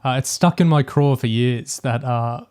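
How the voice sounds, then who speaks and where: A man's voice: mid-range voice, moderate pace, a little mellow, clear, resonant tone, no room sound, clean studio sound. A man co-hosting a podcast, talking casually and analytically through a review, hesitantly thinking aloud as he goes. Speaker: podcast co-host.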